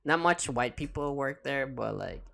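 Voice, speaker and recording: A man hosting a podcast in casual chat, excitable and loose-tongued, swearing often and restarting his words. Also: medium pitch, normal speed, vocal fry. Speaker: podcast host.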